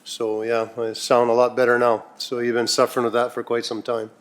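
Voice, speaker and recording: A man from Canada chairs a rural council meeting, speaking into a desk microphone in the chamber. He rambles in a folksy, unpolished way, trailing off and restarting his thoughts. His voice sits at an ordinary middle pitch for a man. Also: completely flat delivery, a little fast. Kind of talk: casual spoken council report.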